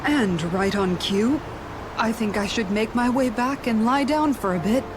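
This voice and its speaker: An actress playing a kind, steady warrior woman comforting friends in a video game cutscene. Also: on the high side, measured pace, noisy background, close to an everyday voice. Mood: light. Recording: music behind the voice.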